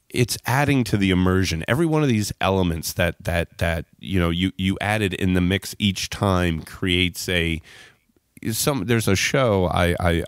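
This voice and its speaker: A man's voice: sits low, no room sound, studio quality. A man hosting an interview podcast, talking effusively and wordily in circling phrases. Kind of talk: enthusiastic interview talk.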